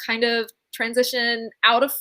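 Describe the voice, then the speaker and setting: A woman's voice: a little high, monotone, normal speed, pushed and bright. A young woman gushing and giggling in casual chat on a video call. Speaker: co-host, artist. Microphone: webcam on a video call.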